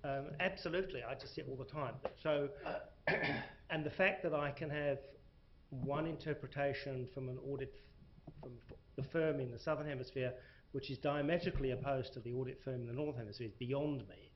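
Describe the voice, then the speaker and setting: A man's voice: mid-range voice, fairly quick, slight background noise. Muffled South African man on a panel. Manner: emphatic, restarts, hesitant fillers. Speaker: panel speaker, corporate reporting. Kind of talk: panel discussion. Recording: muffled lo-fi recording.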